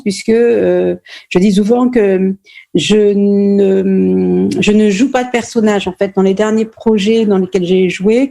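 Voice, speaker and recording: A middle-aged woman from Normandy answers interview questions in a mellow, warm voice at a middling pitch. She speaks thoughtfully, pausing often and restarting her sentences as she searches for words. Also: gravelly. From France, Normandy. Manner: thoughtful, many hesitations and restarts. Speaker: playwright and stage director, guest.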